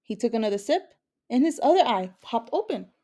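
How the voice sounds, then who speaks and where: A woman with a fairly high voice reads a children's picture book aloud. She narrates as a storyteller. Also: very animated, a little fast, mellow. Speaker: story reader.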